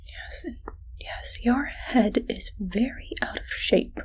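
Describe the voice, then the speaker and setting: A woman playing a friendly but evil scientist in an ASMR roleplay, speaking softly in a playful, mock-clinical, unhurried way. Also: fairly high pitch, husky, rough recording. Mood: curious, teasing. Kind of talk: whispered ASMR medical roleplay.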